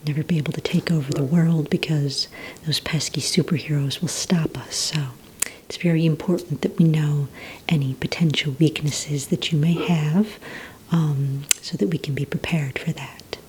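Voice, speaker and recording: Whispering, low, mellow woman in soft ASMR roleplay. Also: fairly even intonation, slight background noise, low-quality recording. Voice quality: breathy, mostly whispered. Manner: gentle, hesitant, unhurried questioning. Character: polite, encouraging villainous receptionist woman, calm and businesslike. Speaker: ASMR roleplay performer as a villain recruitment receptionist.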